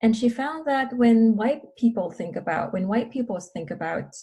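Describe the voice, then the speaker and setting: A woman researcher in a casual panel discussion, talking thoughtfully and pausing often with fillers. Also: a little high, lively intonation, dark tone, slightly rough. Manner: thoughtful, pauses with many fillers. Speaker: researcher guest.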